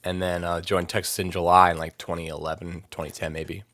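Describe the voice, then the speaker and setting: A man's voice: low-pitched, little pitch movement, fairly quick, fairly bright tone, no room sound, studio quality. A young man from Maryland chatting casually as a podcast guest, rambling with lots of fillers and laughing easily. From Maryland, USA. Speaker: podcast guest, metal vocalist. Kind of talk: casual interview chat.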